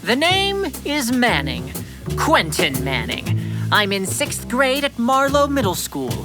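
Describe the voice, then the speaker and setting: A woman's voice: a little high, wildly swooping pitch, slow, full character voice. A woman playing an earnest, pompous sixth-grade boy detective in a children's audio drama, with formal, bookish phrasing. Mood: grandiose. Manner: grandiose, formal, bookish delivery. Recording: music behind the voice.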